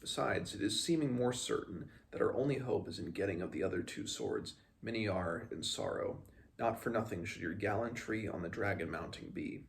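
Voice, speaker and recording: A man's voice: lowish voice, normal speed, vocal fry. A man reading the part of an earnest small mountain-folk apprentice sage in formal, oddly inverted phrasing. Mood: grave, thoughtful.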